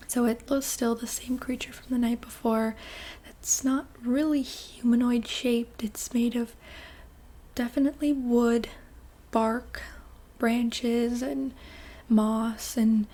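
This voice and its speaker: Young woman whispering an asmr story, breathy, mellow, slow and easy. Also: on the high side. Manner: soft whisper, unhurried, casual fillers. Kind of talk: whispered ASMR roleplay narration.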